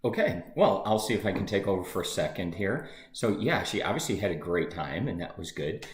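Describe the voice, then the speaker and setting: A man co-hosting a real estate talk show, chatting in an easygoing, halting way with filler words. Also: sits low, lively intonation. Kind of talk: casual chat. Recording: echoey room.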